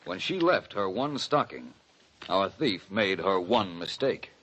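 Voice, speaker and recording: A suave gentleman detective. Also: low voice, expressive, heavy background noise. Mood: polite. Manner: measured, dryly witty. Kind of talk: 1940s radio acting.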